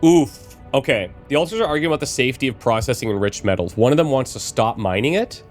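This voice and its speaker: A man in his thirties with a slight cold and a bit of rasp, musing aloud as he plans out his moves while commentating a game. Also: medium pitch, lively intonation, fast, soft background music. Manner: musing aloud, planning with fillers. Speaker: let's play host. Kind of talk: casual gameplay commentary.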